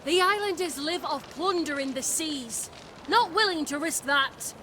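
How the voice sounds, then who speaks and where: A woman's voice: high voice, animated, a little slow, pushed and bright, a little hiss or hum, exaggerated voice. An actress playing a blunt, sardonic female ship captain. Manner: brash and sardonic.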